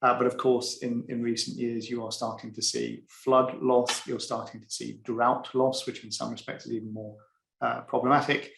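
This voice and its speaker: A man's voice: medium pitch, level tone, normal speed, gravelly, a bit bright. A man on a webinar panel, explaining an insurance executive's view in a conversational, step-by-step way with fillers over a video call. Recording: echoey room.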